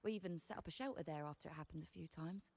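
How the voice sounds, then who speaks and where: A woman's voice: lowish voice, very fast, a little mellow, no room sound. A woman voice actor playing a blunt, practical commoner trader in a video game, with a frank, sardonic, informal manner. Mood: defensive.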